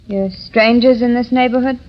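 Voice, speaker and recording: A woman's voice: a little high, steady tone, normal speed, lightly acted. An actress playing a brave, headstrong young woman shopkeeper, blunt and challenging, in a 1930s radio drama. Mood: defiant. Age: young adult. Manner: blunt, plain-spoken, challenging. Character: brave, defiant, headstrong young shopkeeper protecting her father. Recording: dull 1930s radio recording.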